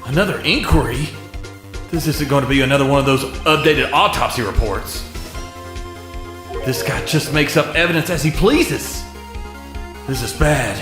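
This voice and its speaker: A man playing an earnest, easily flustered young defense lawyer in an expressive game-fandub style. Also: medium pitch, moderate pace, long pauses, lightly acted. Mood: rattled. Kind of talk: game fandub courtroom drama. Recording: music behind the voice.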